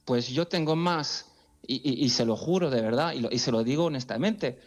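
Man in an interview, emotional, keeps restarting, earnest, normal pitched voice. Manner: halting, many restarts, earnest.

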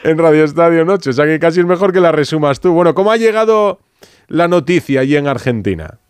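A man's voice: fairly high pitch, animated, fast talker, smooth, no room sound. A man with an accent from Spain, hosting a conversational sports radio interview. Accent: Spanish from Spain. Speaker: radio host. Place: radio studio.

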